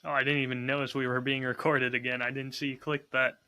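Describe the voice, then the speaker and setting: Dude on a podcast just chatting, bright forward voice, medium pitch. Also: male voice, flat delivery, fast, clean tone. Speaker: podcast co-host. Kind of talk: casual chat.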